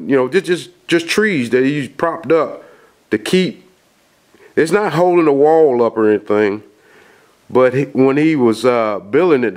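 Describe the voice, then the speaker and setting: A man with a cold and a nasal voice, filming a folksy, rambling walkthrough of a dark house basement on a handheld camera, with lots of fillers and restarts. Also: medium pitch. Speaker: outdoors video host. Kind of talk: casual vlog walkthrough.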